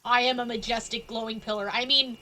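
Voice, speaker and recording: A woman's voice: a little high, flat delivery, a little fast, pushed and bright. A woman giving casual, playful live-stream gaming commentary with sarcastic asides. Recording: game music behind the voice. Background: game music.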